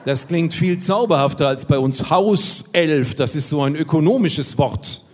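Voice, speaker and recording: A man's voice: fairly high pitch, unhurried. Male slam poet, ironic punchline delivery, stage mic, long hall reverb. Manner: ironic, punchline-driven, lively. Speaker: poetry slammer. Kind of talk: comic poetry slam performance on stage. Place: stage at a poetry slam event. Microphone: stage microphone.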